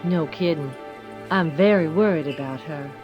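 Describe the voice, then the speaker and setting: A woman's voice: low voice, normal speed, dull audio, close to an everyday voice. A woman playing a concerned, forthright friend in an audio drama. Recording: music under the voice.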